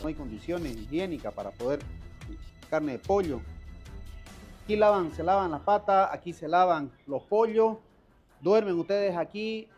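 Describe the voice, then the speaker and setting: A man's voice: sits high, animated, moderate pace, long pauses, darkish tone. A man working as a health inspector, scolding a stall owner on site in an insistent, repetitive way inside a food stall kitchen. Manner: scolding, insistent, repeats commands. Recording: news clip with faint music behind.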